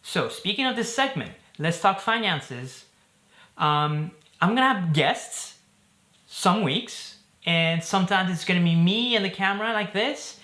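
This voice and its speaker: A man's voice: high voice, animated, unhurried, pushed and bright, roomy sound. A man from Belgium in his thirties, hosting a casual talk to camera in an upbeat, chatty way. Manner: upbeat and chatty, with fillers and jokes. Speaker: host.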